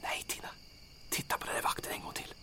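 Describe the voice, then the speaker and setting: A man playing a nervous, reluctant soldier sidekick in a comic audio drama, wry and protesting. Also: close to an everyday voice. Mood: nervous, hushed. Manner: wry, protesting, often hissed whisper or yelled.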